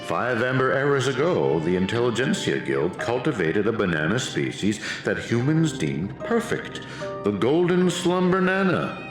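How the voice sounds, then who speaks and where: A man's voice: medium pitch, big pitch swings, moderate pace, reverberant, music in the background, lightly acted. An actor playing a villainous research aide in a video game, a pompous man who lectures theatrically. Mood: lecturing.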